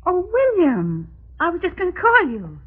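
A woman's voice: very high-pitched, wildly swooping pitch, normal speed, heavily acted. A wronged, anxious wife in a vintage radio play. Her delivery is hesitant and wounded, with theatrical weight. The old recording is thin and narrow, almost like a telephone. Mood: hurt, pleading. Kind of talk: old-time radio drama acting. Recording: tinny, band-limited old radio recording.

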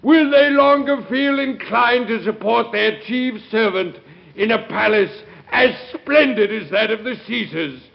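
Very high, creaky pompous congressman. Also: a little slow, heavily acted. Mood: pompous, indignant. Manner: pompous, declamatory. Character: indignant congressman orator denouncing presidential extravagance. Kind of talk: oratorical radio drama speech. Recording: muffled vintage radio recording.

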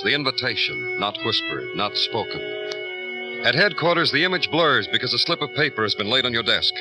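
An actor playing a world-weary New York detective, narrating in a deliberate, florid 1950s radio noir style. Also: male voice, medium pitch, natural voice. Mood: brooding, dramatic. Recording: old radio drama with loud music.

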